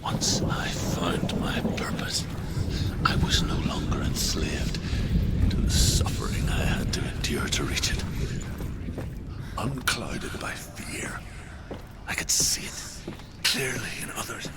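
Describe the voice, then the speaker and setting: An actor playing a weathered, solemn mentor who survived captivity, speaking in a hushed, breathy, dramatic way in a video game. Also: male voice, sits low, sing-song, in a whisper, exaggerated voice. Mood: haunted, reflective. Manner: slow, hushed, breathy delivery. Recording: heavy background noise.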